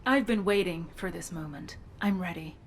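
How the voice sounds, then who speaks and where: An actress playing a determined, cool-headed infiltration agent in a video game, controlled and businesslike in delivery. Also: female voice, fairly low pitch, normal speed, slight background noise, close to an everyday voice. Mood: resolute. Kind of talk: dramatic video game dialogue.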